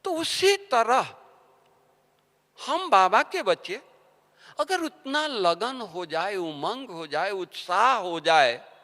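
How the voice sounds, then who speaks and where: Guy giving a slow sermon, bright clear voice a bit high, long echo in the hall. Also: sing-song. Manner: storytelling, rhetorical questions, swings between soft and loud. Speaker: spiritual teacher. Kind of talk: devotional sermon.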